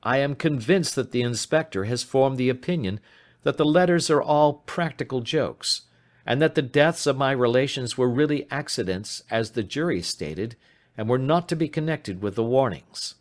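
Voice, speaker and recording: A man voicing an anxious, despairing young gentleman in an audiobook, formal and earnest in manner. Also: mid-range voice, moderate pace, voice pushed up, close to an everyday voice. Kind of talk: audiobook character voice.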